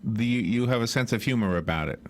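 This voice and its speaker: A man's voice: lowish voice, a little fast. A man hosting a casual radio interview, chatty, with lots of "uh-huh"s and restarts. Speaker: radio host.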